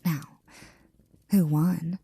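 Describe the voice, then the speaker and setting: A woman playing a flirty, devoted maid in an intimate ASMR roleplay, breathy and often near a whisper, with a teasing, affectionate manner. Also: low voice, fairly even intonation, dark tone, dry recording, full character voice. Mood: curious, gossipy. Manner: teasing, affectionate, slow and drawn out.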